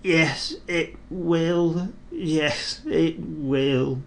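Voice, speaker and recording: A man voicing a gang of mischievous railway trucks in a storybook reading, sing-song and creaky. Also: a little high, extremely slow, voice pushed up, full character voice. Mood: groaning.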